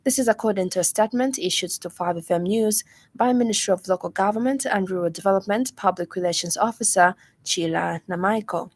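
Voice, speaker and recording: A woman with a Zambian accent reads a scripted radio news bulletin in a formal, measured way. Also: mid-range voice. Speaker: news reader.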